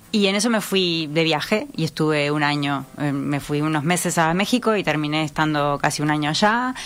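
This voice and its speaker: A woman in her forties from Uruguay, speaking with a Uruguayan accent touched by Spain, talking in a relaxed, chatty way as a writer being interviewed. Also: on the low side. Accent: Uruguayan, touched by Spanish from Spain. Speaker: writer guest. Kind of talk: conversational interview.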